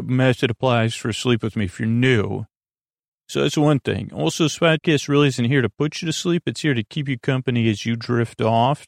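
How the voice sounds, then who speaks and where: A man hosting a bedtime podcast in a rambling monologue, halting and stammering with frequent false starts and self-corrections, in a gravelly voice. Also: mid-range voice, a little mellow, no room sound, studio quality. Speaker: podcast host.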